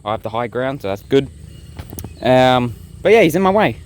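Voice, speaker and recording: A man's voice: mid-range voice, normal speed. Young male vlogger, casual and rambling, outdoor handheld sound with insect noise behind. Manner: rambling, relaxed, talks straight to viewers. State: sick, blocked nose and sore throat. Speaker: bushcraft vlogger. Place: outdoors in the bush.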